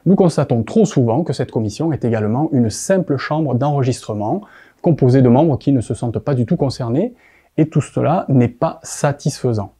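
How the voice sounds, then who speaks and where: Man, French accent from France, very mellow dark tone, formal explainer narration, didactic delivery. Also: medium pitch. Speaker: presenter of explainer videos.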